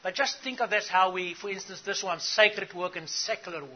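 Husky high-voiced man. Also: brisk, dull audio. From South Africa. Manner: earnest, asks the audience questions. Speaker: conference speaker. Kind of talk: teaching talk to church leaders.

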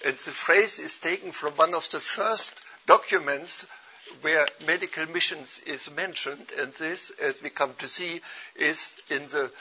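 A man with a German accent from Germany giving a formal lecture in a measured way. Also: fairly high pitch, bright, forceful tone, slightly rough. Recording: dull lo-fi with faint noise.

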